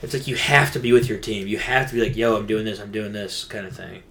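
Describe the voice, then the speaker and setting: A man's voice: on the low side, quick pace, vocal fry, a bit bright. A man co-hosting a podcast, chatting casually about games in a rambling, slangy way. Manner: rambling, informal gamer slang. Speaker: podcast co-host. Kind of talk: casual gaming chat.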